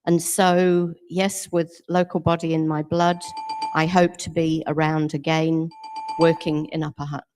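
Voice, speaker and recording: A middle-aged woman giving a steady, assured campaign closing statement as a council candidate. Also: sits low, a little slow, rough recording.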